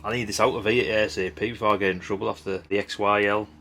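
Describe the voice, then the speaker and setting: A man's voice: fairly low pitch, steady tone, fast, crowd noise. A middle-aged man, giving casual, unscripted commentary in a chatty, rambling, blunt way. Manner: chatty, rambling, blunt mild swearing, tag questions. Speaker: hobbyist video host. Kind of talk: casual unscripted commentary while sorting old parts.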